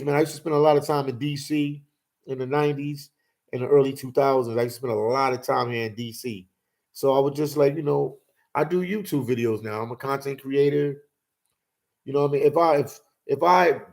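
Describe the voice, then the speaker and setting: A man's voice: medium pitch, a little mellow. A man in his forties hosting a casual livestream chat, telling stories in a laid-back way and dropping "man" and "you know" often. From Philadelphia. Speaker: host.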